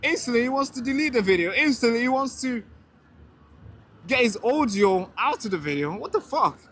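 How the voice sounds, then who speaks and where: Indignant high-voiced young man on the street. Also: lively intonation, normal speed, a bit bright, slight background noise. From Tanzania. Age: young adult. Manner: indignant, emphatic, repeats words when heated. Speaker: street interviewer and online show host. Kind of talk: casual street talk and direct-to-camera rant. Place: outdoors on a city street. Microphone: handheld camera mic.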